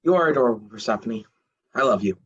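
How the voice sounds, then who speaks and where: A man's voice: on the low side, expressive, quick pace, lo-fi sound. A man voicing a lovestruck, laid-back god of the underworld who flirts and jokes. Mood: flirty. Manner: smooth, flirty, joking.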